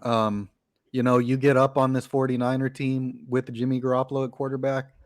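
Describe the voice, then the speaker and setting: A man's voice: medium pitch, flat delivery, no echo, studio quality. A man hosting a sports podcast, talking football casually with lots of "you know" fillers. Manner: conversational, uses "you know" fillers, quick to laugh. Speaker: podcast host.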